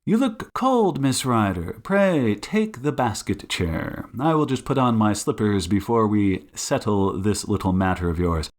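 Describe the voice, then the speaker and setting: A suave, commanding detective, acted by a man, speaks slowly with wide, theatrical swings in pitch. He is shrewd and politely condescending, with a mocking edge, as in a dramatized audiobook. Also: very animated. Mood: suave, cheerful. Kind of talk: audiobook character acting.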